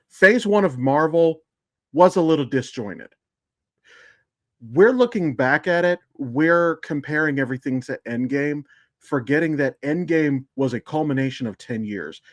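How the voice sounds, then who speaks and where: Higher-pitched man giving casual podcast commentary. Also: animated, unhurried, no room sound, studio quality. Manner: emphatic, explanatory, casually profane. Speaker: podcast co-host.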